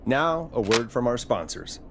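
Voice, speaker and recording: An actor playing a wry, easygoing late-night radio host in a video game. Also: male voice, medium pitch, very animated, unhurried, over a noisy crowd. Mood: easy, exasperated.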